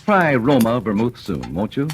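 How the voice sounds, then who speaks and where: A male radio announcer reading a sponsor advertisement in a smooth, polished 1940s style. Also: mid-range voice, wildly swooping pitch, moderate pace. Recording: noisy old radio broadcast.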